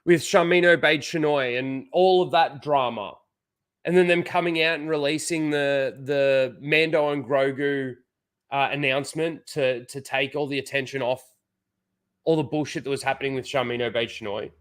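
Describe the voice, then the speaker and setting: A man's voice: mid-range voice, steady tone, normal speed, fairly bright tone. A man with a head cold and blocked nose, rambling casually to his chat as a livestream host, full of "like" and restarts.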